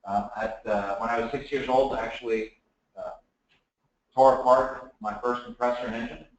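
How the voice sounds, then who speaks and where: A man from the Dominican Republic giving a relaxed technical talk at a seminar, with many fillers and restarts. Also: medium pitch, normal speed, dull audio. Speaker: guest speaker. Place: seminar room, echoey. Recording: echoey room.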